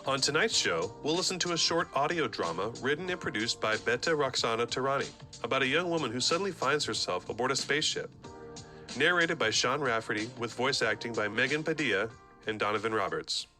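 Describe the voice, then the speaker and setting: Young male radio host, animated pitch. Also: a little fast, soft background music. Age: young adult. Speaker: podcast host. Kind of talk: scripted radio introduction.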